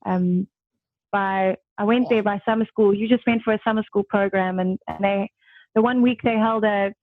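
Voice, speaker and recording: A woman's voice: mid-range voice, fairly even intonation, moderate pace, no room sound. A young South African woman from Durban, a guest chatting on a video call, talkative with lots of laughs and fillers. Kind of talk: casual video-call chat. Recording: dry tinny call audio.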